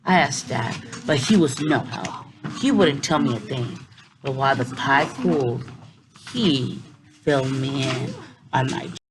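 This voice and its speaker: Expressive woman teacher reading a storybook. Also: extremely low pitch, a little slow. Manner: expressive, with playful asides to young listeners. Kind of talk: children's picture book read-aloud. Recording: noisy recording with clicks.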